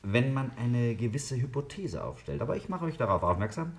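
An actor playing a confident lead scientist in a 1950s German radio drama, explaining things in a deliberate, didactic way. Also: male voice, mid-range voice, sing-song. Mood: deliberate, assertive.

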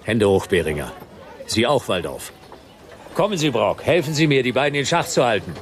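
A man's voice: mid-range voice, big pitch swings, normal speed, some chatter behind, slight background noise. A man playing a hard-boiled, impatient detective in a radio drama, curt and sarcastic. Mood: commanding.

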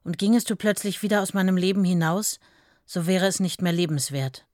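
An actress playing a tender, adoring fiancée in a radio play, reading love letters aloud in an earnest, simple way. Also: female voice, fairly low pitch, a little fast, a little mellow, dry recording, clean studio sound, lightly acted. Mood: earnest devotion.

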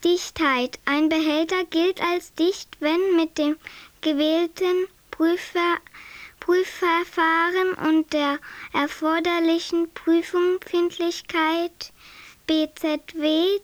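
A woman's voice: very high voice, steady tone, slow pace, dry recording. A woman playing an impersonal reader who recites technical definitions formally in a radio play. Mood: formal, detached. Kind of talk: formal reading of glossary definitions.

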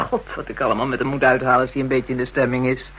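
A woman's voice: a bass voice, level tone, fast talker, a bit bright, breathy edge, heavily acted, poor audio. An actress playing a coarse, brazen, spiteful call girl in a radio drama, talking in crude, taunting slang. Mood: scornful, gleeful.

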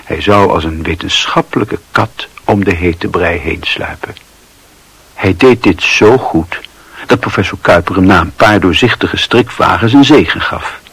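A man narrating a literary radio play as a wry, observant storyteller with a husky voice. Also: low-pitched, unhurried, fairly bright tone, no echo, full character voice. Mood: wry, measured.

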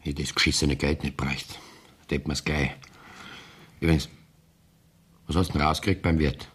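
Very deep, gruff Bavarian outlaw. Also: male voice, flat delivery, moderate pace, a bit bright, heavily acted. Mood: weary. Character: hunted, defiant male outlaw on the run. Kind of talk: 1970s German radio play acting. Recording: noisy radio play recording.

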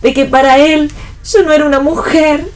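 A woman's voice: high voice, fairly even intonation, normal speed, natural voice. A woman playing a lovelorn, despairing rich daughter in a read radio play. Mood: anguished.

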